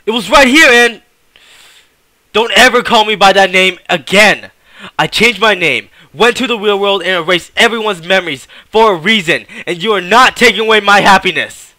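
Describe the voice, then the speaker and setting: An agitated young runaway, acted by a man, pitched high with a very bright, sharp edge. He pushes his words out urgently and defiantly in a close, dry recording, like a fast dramatic audition. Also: no echo.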